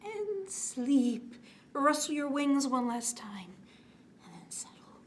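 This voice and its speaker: A woman leads a bedtime story time for small children in a fairly high voice. She speaks slowly and expressively, stretching words and often softening to a hush. There is clear background noise in the recording. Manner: expressive, drawn-out words, often dropping to hushed whispery tones. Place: indoors, small curtained set.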